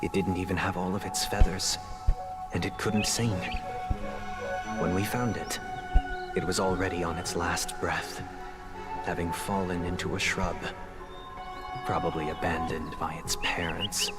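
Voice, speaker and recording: A calm, well-spoken young man leads his listeners with formal, measured phrasing in a game cutscene. His voice sits a little low. Loud game music plays underneath. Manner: measured, formal, softly persuasive. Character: composed, eloquent young man leading others gently. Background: loud game soundtrack music.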